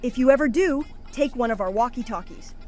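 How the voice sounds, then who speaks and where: A woman's voice: on the high side, expressive, brisk, dark tone, faint music behind, close to an everyday voice. A woman playing a confident, practical young kid who leads a team of heroes in a cartoon comic dub.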